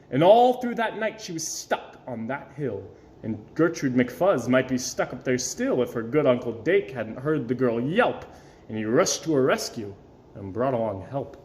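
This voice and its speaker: A man performs an expressive read-aloud for children. His delivery is theatrical and very animated in pitch, leaning on key words and pausing for effect. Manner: theatrical, dramatic emphasis and pauses. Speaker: storybook reader.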